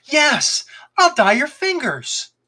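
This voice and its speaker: A man's voice: very high voice, very animated, slow, sharp tone, voice pushed up, full character voice. A man voicing a cheerful, eager, courteous young fox cub disguised as a shop boy in a storybook reading.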